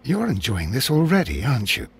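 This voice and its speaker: An actor playing a loyal, wry English companion to a detective in a video game, dry and gently teasing in manner. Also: male voice, mid-range voice, huge pitch swings, fairly quick, gravelly, slightly rough, exaggerated voice. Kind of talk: video game cutscene acting.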